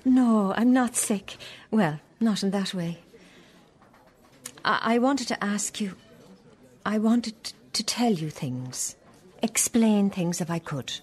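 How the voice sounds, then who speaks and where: An actress playing an older Irish mother in a radio drama, reflective and halting as she confesses her past. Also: medium pitch, expressive, darkish tone, slightly rough. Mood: hesitant. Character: older Irish mother, candid, regretful, recalling her youth. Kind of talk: naturalistic radio drama acting.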